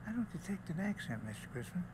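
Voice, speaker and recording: An actor playing an elderly, calculating crime boss in a video game, speaking in a measured, imperious, coolly menacing way. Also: male voice, sits high, very animated. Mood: coolly sly. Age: older. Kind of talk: video game cutscene acting. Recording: echoey hall, background noise.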